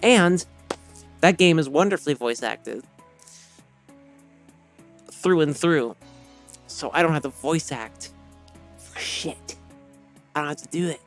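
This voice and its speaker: A slightly hoarse man streaming a game, reading its story text aloud and then chatting casually, rambling and correcting himself with many fillers. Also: on the high side, lively intonation, takes it slowly, pauses often, faint music behind.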